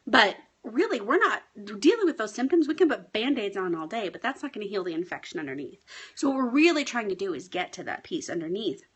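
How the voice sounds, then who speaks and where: A woman life coach talking casually on a home live stream, a bit hoarse and often checking in with her viewers. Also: mid-range voice, big pitch swings, brisk. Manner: chatty, often asks "right?". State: hoarse, clearing her throat. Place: at home with pets.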